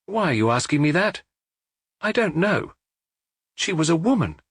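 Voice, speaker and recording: A man's voice: a little high, moderate pace, breathy edge, no room sound, clean studio sound, pitched above the performer's own voice, full character voice. A man voicing a blunt, unsentimental woman. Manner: flat, matter-of-fact, unbothered. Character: blunt unsentimental middle-aged woman, hairdressing shop owner.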